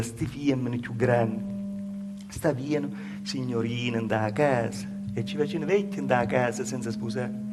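A man's voice: medium pitch, lightly acted. A male actor with a Calabrian accent playing a humble peasant woman, telling her village past in a plaintive, folksy, repetitive stage monologue. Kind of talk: theatrical dialect monologue over live music. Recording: loud live music behind the voice. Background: live music.

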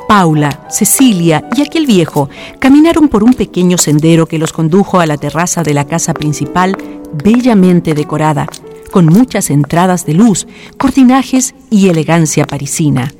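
Male narrator with animated delivery. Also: fairly high pitch, normal speed, soft background music. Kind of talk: scripted radio drama narration.

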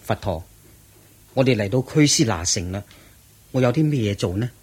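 An actor playing a devoted, grieving monk disciple in a radio drama, reverent and earnest, with husky Hong Kong Cantonese speech. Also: male voice, on the low side, animated, normal speed, a little mellow. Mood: earnest, respectful. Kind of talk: Buddhist radio drama acting.

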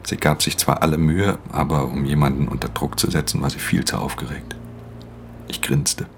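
A man's voice: rumbling low, fairly even intonation, normal speed, a little hiss or hum. An actor playing an aloof, weary male pickpocket in a radio play, terse and understated, often dropping into a near-whisper. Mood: curt, detached. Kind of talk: German radio play acting with intimate first-person narration.

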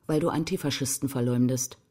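An actress in a radio crime drama playing a combative young left-wing activist, curt, defiant and accusatory. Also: female voice, extremely low pitch, brisk, warm tone. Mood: cool, accusing. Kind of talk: German crime radio play dialogue.

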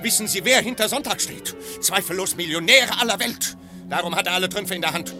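Grim fugitive officer, male actor, radio play, declamatory, strikingly high voice. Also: a little fast, over music, close to an everyday voice. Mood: bitter. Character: Radcliffe, grim, lucid policeman on the run. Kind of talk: German radio play acting.

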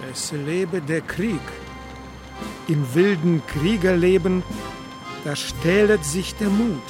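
An actor playing a declamatory reciter of martial verse in a theatrical radio drama. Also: male voice, high-pitched, slow pace. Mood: martial fervour. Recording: clear music behind the voice.

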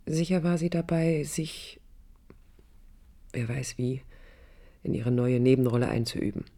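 Yearning young woman, husky intimate voice. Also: a bass voice, a little slow, dark tone, exaggerated voice. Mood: reflective. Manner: reflective, intimate, at times near whispering. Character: passionate, searching young woman architect, lovelorn. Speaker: radio drama actress, narrator and lead. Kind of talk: 1980s German radio play acting, intimate monologue. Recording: music under a studio radio recording.

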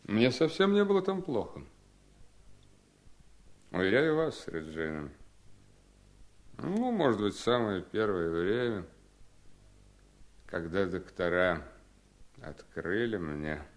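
An actor playing a weary, ailing husband in a radio play, thoughtful, courteous and quietly firm. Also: male voice, lowish voice, very animated, takes it slowly, pauses often. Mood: reflective. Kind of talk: 1970s Soviet radio play acting.

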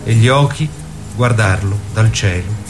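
A man's voice: lowish voice, measured pace, music in the background. A man reading theatrical scripted narration in a radio play.

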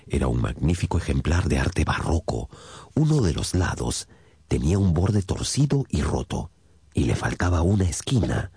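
A man's voice: very deep voice, sing-song, moderate pace, warm tone, husky, no room sound, heavily acted. A man acting as a detective's loyal companion, narrating the case in a composed, dramatic storytelling style for a radio drama. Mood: composed, suspenseful.

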